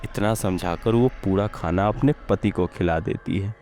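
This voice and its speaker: Lowish-voiced male storyteller. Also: moderate pace, warm tone, faint music behind. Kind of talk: scripted story narration.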